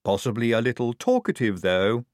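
A man voicing a calm, learned young woman medic, precise and matter-of-fact, in an audiobook. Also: medium pitch, moderate pace, no room sound, clean studio sound, lightly acted. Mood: reasoning.

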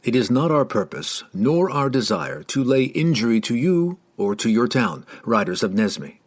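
Fantasy audiobook dark elf, read by a male narrator: composed, courteous, formal, mid-range voice. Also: mid-range voice, normal speed. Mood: calm, conciliatory. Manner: formal, steady, diplomatic. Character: calm, measured male dark elf warrior.

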